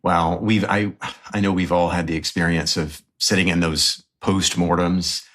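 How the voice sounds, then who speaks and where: A man co-hosting a podcast, explaining an idea in a thoughtful, measured way. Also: low voice, level tone, a bit bright. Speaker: podcast co-host. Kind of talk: conversational interview.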